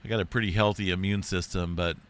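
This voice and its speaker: A man hosting an earthquake update in a rambling, chatty way, his voice deep and congested from being sick. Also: fairly even intonation, fast, fairly bright tone. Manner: rambling, chatty, says "right?" and "big time" often. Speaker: earthquake and space weather channel host. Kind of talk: casual screen-share update.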